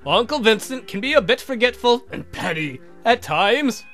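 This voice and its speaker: A courtly vampire count acted by a man from a comic horror game, theatrical and exasperated. The voice is bright and high for a man, delivered with formal, dry wit over faint music. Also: very animated, moderate pace, heavily acted. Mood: polite. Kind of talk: visual novel character voice.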